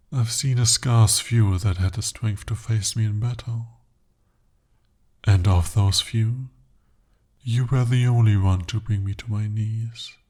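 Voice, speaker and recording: A man's voice: lowish voice, warm tone. A man voicing a devoted, possessive male demon in an ASMR roleplay, speaking formally and intimately. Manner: formal, intimate, slow-spoken address.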